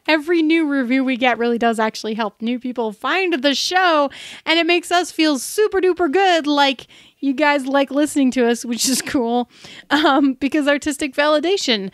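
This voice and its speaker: A woman's voice: sits high, no echo, clean studio sound. A woman co-hosting a podcast in a casual, playful and informal way. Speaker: podcast co-host.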